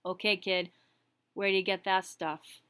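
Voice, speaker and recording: A woman reading a story aloud, voicing a huge, surly man with a low rumbling, growling, slow delivery. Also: level tone, fairly bright tone, smooth, lightly acted. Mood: businesslike, curt. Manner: slow, terse, growling, ungrammatical. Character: huge gruff surly man selling illegal fireworks.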